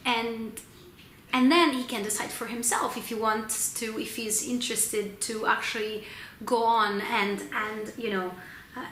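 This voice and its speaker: A woman's voice: on the high side, fairly bright tone. A young woman with an Italian accent, chatting casually and hesitantly about books she read, with many ums and restarts. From Italy. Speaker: book channel host. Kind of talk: casual book wrap-up vlog. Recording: echoey room with faint noise.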